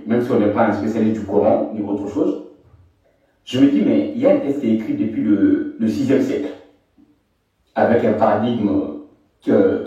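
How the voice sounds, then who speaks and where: A hesitant man from Mali who keeps restarting his sentences as he makes his point. His voice is dark and mellow, and it sounds far from the mic in a very echoey space. The recording is dull and lo-fi. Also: on the low side. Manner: hesitant, repeats words. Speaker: caller. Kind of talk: live online debate.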